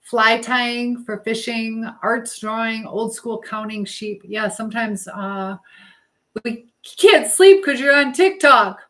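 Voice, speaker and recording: A woman hosting a casual live chat, reading out viewer comments and thinking aloud in a halting, rambling way. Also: fairly high pitch, fairly bright tone. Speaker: livestream host chatting with live viewers.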